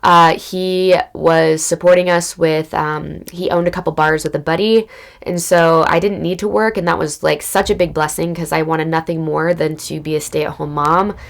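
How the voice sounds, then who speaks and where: A woman recovering from illness, her voice hoarse and raspy, telling a personal spiritual story in a casual, loose way as a podcast host. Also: low voice, steady tone, normal speed. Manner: casual, loose, spiritual talk with many fillers.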